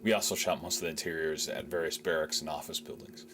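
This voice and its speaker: Deep-voiced male director, measured. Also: steady tone, background noise. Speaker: film director. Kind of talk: reflective behind-the-scenes commentary.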